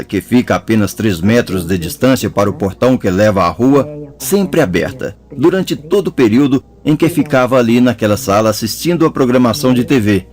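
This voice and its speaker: A man reads a chapter of an audiobook in a measured, storytelling manner. His voice sits at an ordinary middle pitch for a man. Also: a little mellow. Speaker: audiobook narrator. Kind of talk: scripted narration.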